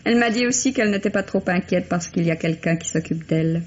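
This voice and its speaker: A woman's voice: lowish voice, quick pace, close to an everyday voice. A woman playing a matter-of-fact police station jailer who reports plainly, in a vintage radio mystery. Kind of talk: 1950s French radio mystery acting. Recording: dull, noisy old radio drama recording.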